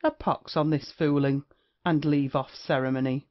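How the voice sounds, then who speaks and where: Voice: mid-range voice, fairly even intonation, moderate pace, close to an everyday voice. A performer playing a brash, witty young male rake in a period comedy audio play, with archaic, swaggering, flippant speech. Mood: hearty. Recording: dull, lo-fi audio.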